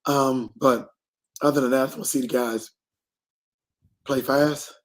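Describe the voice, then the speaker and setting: A man co-hosting a sports talk show, laid-back and plain-spoken, badly hoarse. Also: medium pitch, steady tone, a little slow. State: hoarse, voice nearly gone. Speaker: football coach co-host.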